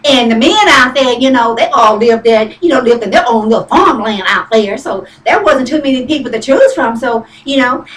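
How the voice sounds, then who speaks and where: A woman's voice: sits high, a bit bright, echoey room, recorded from a distance, slight background noise, low-quality recording. A woman playing a chatty Southern country farm wife with a folksy drawl, rambling with fillers in a comic monologue.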